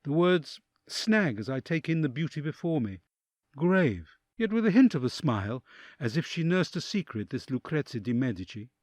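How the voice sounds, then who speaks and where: A man's voice: a little high, big pitch swings, normal speed, a little mellow, no room sound, clean studio sound. A man playing an awed, anxious envoy who narrates a dramatic audio drama in the first person. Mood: nervous, hushed.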